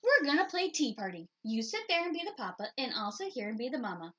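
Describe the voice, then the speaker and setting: A woman's voice: high-pitched, very animated, fast talker, fairly bright tone, muffled recording, full character voice. A woman voicing an eager, snappy six-year-old girl bear cub in a picture book. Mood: bossy.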